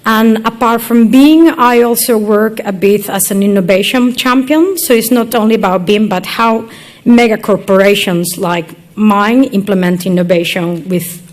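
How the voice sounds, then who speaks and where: A woman's voice: medium pitch, moderate pace. Spanish-accented young woman giving a chatty talk. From Galicia, northwest Spain. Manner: chatty, self-deprecating, fillers. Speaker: conference speaker. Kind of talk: conference talk. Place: conference hall.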